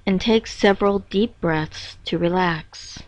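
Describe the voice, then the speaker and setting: A woman reading a scripted guided meditation in a measured, instructing manner with pauses between phrases. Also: medium pitch. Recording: dull, roomy echoing lo-fi recording.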